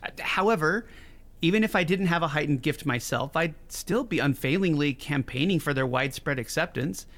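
An actor playing an earnest, tender-hearted advocate in an audio drama, talking in a chatty, expressive way. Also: male voice, fairly high pitch, brisk. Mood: upbeat. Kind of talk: naturalistic audio drama acting.

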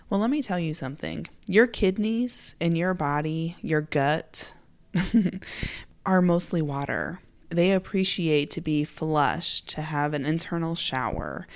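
Motivational woman podcast host, slightly raspy. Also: deep voice. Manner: upbeat, motivational, direct. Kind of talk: podcast monologue.

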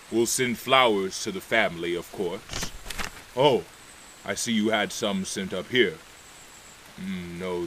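An actor playing an imperious, excitable ruler in an audio drama, gruff, dismissive and commanding. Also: male voice, lowish voice, slight background noise. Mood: grumbling. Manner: blustering, commanding. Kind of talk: theatrical audio drama acting.

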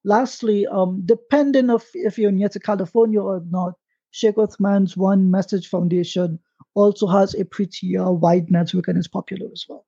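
A man's voice: high voice, fairly even intonation, normal speed, creaky, dark tone, slightly rough, no echo. A man on a live stream panel, informally giving callers advice and pointing them to resources. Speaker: panelist answering callers' questions. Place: online stream. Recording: dull, lo-fi online call.

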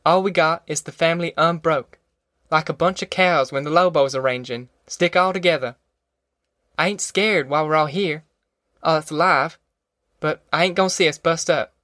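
A man acts out a middle-aged country mother who will not budge. The voice sits high, with a rural Southern American accent and folksy, threatening dialect. It is audiobook character work, recorded dry and close. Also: moderate pace, pushed and bright, no echo. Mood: resolute. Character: fierce, stubborn middle-aged rural mother, defiant matriarch.

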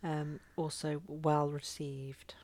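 A woman's voice: low voice, flat delivery, takes it slowly, darkish tone. A woman co-hosting an educational podcast, reading notes and then chatting informally. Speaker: podcast co-host. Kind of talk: casual educational podcast chat.

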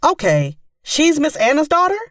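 A woman's voice: high voice, big pitch swings, normal speed, dry recording. A woman playing a firm, chatty mother in an audio drama. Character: firm, chatty Southern mother, middle-aged. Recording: dry but distant from microphone.

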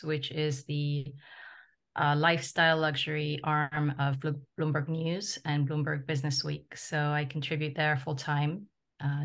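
A woman whose first language is French speaks in a low, flat voice with a gravelly edge. She grew up in the Ivory Coast. She answers reflectively, pausing often with ums. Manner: reflective, with many ums and uhs. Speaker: guest, travel journalist. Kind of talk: interview answers.

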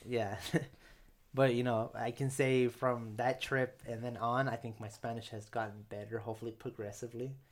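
A man's voice: mid-range voice, normal speed. Laid-back young man chatting. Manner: relaxed, rambling, many fillers and restarts. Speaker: podcast guest. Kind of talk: casual podcast chat.

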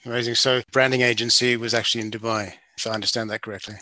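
A man's voice: mid-range voice, level tone, rapid-fire, pushed and bright, no room sound. A man hosting a casual interview, responding in an affirming, enthusiastic way. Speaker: podcast host.

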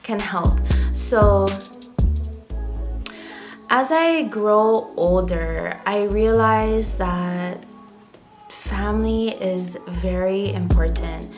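Slow, soft-spoken woman from Hawaii. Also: mid-range voice. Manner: slow, gentle, many ums and pauses. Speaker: podcast host. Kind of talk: casual solo podcast monologue. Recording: loud background music.

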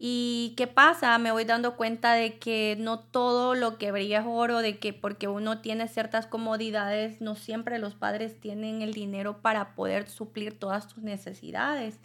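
Woman, young adult, soft and a bit nervous, fairly high voice, interview guest. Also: level tone, unhurried, few pauses. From El Salvador. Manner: soft-spoken, a little nervous, reflective. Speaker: podcast guest, cosmetologist. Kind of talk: personal storytelling in interview.

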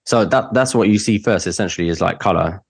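Fast-talking low-voiced young man, chatty. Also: slightly rough. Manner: rambling, chatty, full of "like". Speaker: podcast host, wedding photographer. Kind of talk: casual podcast conversation.

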